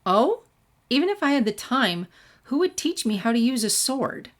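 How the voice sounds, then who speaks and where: A woman reader puts on a young kunoichi from fan fiction, sharp and sarcastic. Her voice sits high and firm, with dry, pointed retorts. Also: sing-song, normal speed. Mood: sarcastic, clipped.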